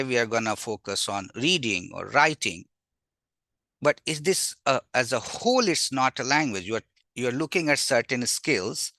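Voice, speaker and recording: A man from Bihar in India, a native Magahi speaker with an Indian accent, lecturing informally as a language teacher in an animated way, often posing rhetorical questions. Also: medium pitch, no echo, studio quality. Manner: animated, asks and answers own rhetorical questions. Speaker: university language teacher, invited webinar speaker.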